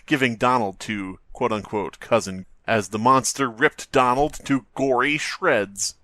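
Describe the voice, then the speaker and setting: A man's voice: mid-range voice, unhurried, sharp tone, dry recording, clean studio sound. A man co-hosting a podcast, reading a story aloud for comedy and bantering in a joking, theatrical way. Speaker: podcast co-host.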